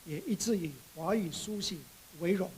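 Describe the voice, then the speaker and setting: A man from Borneo, giving a prepared speech as an author in measured, halting short phrases. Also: sits high, a little mellow, slight background noise, low-quality recording. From Borneo, Malaysia. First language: Chinese.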